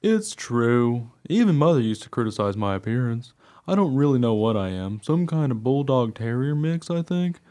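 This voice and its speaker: Man playing an eager mutt dog, lively storyteller. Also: mid-range voice, normal speed, natural voice. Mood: wry, reflective. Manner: earnest, excitable, naive storytelling. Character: eager, chatty, friendly male mutt dog narrating his life. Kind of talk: scripted radio play narration.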